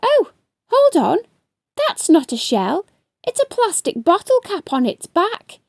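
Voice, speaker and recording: A woman's voice: very high-pitched, huge pitch swings, takes it slowly, creaky, no echo, clean studio sound, pitched above the performer's own voice, exaggerated voice. A woman voicing a caring young female moonfish in a children's storybook, with an exclamatory, soothing manner. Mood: surprised concern.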